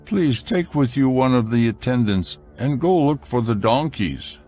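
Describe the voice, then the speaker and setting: A man's voice: mid-range voice, animated, a little slow, dark tone, faint music behind. An actor playing a polite Israelite father instructing his grown son, courteous, in a dramatized scripture recording. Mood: calm request. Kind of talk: dramatized scripture audio acting.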